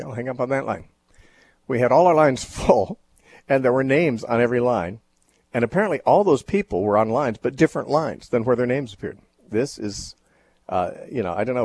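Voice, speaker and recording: A man hosting a radio call-in show, teaching on the Bible in a measured, thoughtful way with frequent fillers and restarts. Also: medium pitch, darkish tone, breathy edge, no echo.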